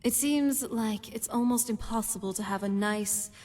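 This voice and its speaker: An actress playing a young, wry, world-weary vampire companion in a fantasy game, speaking in an unhurried way. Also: female voice, on the high side, a little mellow, close to an everyday voice. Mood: weary, wistful. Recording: long hall reverb, music behind.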